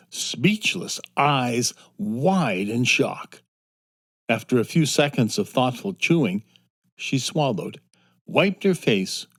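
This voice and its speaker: A man narrating a children's story from a script in a measured, expressive storytelling manner. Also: medium pitch. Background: soft music.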